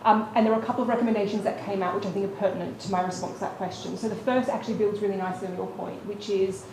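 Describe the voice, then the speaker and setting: A woman on a panel, answering a question at a brisk, articulate pace. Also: medium pitch, steady tone, darkish tone. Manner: brisk, articulate, policy-focused. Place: conference room with audience. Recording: echoey room with background noise.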